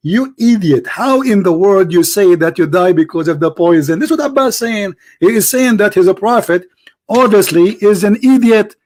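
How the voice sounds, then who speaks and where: A man's voice: high voice, animated, normal speed, darkish tone. A man debating in English, which is not his first language, hosting a heated online debate in a sarcastic, mocking and insistent manner. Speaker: debate host.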